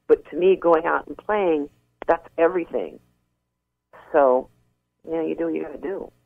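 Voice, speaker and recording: Gravelly woman on a tinny phone line. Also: deep voice, moderate pace. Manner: earnest, frank and talkative, with many restarts. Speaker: rock singer and interview guest. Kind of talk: candid phone interview. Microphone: telephone.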